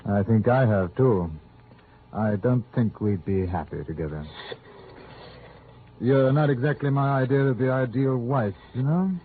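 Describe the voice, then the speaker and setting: A man's voice: mid-range voice, unhurried, pauses often, natural voice. An actor playing a blunt, hard-nosed male investigator in an old radio drama. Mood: cool, dismissive. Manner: blunt, curt, tough. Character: brusque, hard-nosed American insurance investigator. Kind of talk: 1940s-50s radio drama acting. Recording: tinny, noisy old radio recording.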